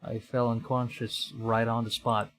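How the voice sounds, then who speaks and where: Man, game streamer, medium pitch, dramatic read-aloud, horror visual novel narration, live reactions. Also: little pitch movement, measured pace, fairly bright tone. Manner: acts out the dialogue dramatically.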